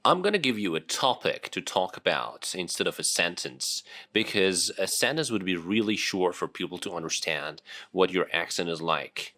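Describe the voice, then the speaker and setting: Iranian male host, Persian native, low voice, dry studio re-record. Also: sharp tone, dry recording. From Iran. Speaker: English teacher and host. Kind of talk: casual interview.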